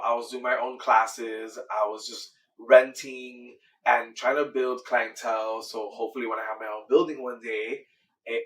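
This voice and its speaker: An earnest man in his thirties from Miami talks about his work with easy enthusiasm and often circles back on his own words. His voice sits at a middle pitch. He is caught from a little way off the mic. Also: pushed and bright, far from the mic, poor audio. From Miami, Florida, USA. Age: 30s. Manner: earnest, enthusiastic, repeats himself. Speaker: dancer and choreographer, guest. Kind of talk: casual interview answers.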